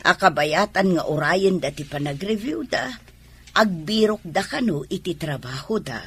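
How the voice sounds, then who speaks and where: A woman plays a hopeful wife and proud mother in an Ilocano radio drama. Her Filipino voice is very husky and fairly low, moving expressively up and down. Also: animated, moderate pace, fairly bright tone, exaggerated voice. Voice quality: raspy, husky.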